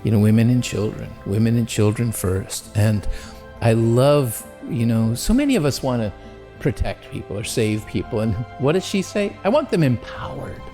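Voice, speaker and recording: Gravelly, mellow-voiced man. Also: mid-range voice, lively intonation, moderate pace, faint music behind. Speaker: documentary interviewee. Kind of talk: tribute interview.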